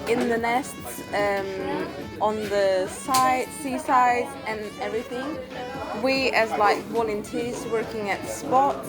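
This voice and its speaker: A young woman from Cyprus in her twenties, talking casually to camera about her volunteer research, pausing often with ums. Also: medium pitch. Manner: hesitant, many ums.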